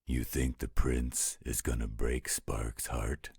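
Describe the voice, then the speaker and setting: A gruff, overprotective werewolf guardian in an audio roleplay. His voice is extremely deep and heavy with gravel. He grumbles along slowly, close and dry. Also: flat delivery, a little mellow, dry recording, exaggerated voice. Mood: worried. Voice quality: gravelly, husky. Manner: slow, grumbling, protective. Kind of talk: roleplay audio drama acting.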